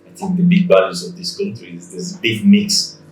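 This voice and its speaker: Brief: deep-voiced Salvadoran artist, native Spanish speaker, halting English, recorded from a distance in an echoing gallery. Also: male voice, fairly even intonation, darkish tone, soft background music. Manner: halting, searching for words with many fillers. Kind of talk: casual interview. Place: art gallery.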